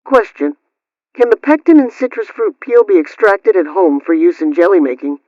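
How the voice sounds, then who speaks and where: A man's voice: high voice, animated, moderate pace, a little mellow, dry recording. A man reading scripted household advice as a radio host, in a formal, instructional, carefully enunciated manner, question then answer. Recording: dull lo-fi radio-style sound.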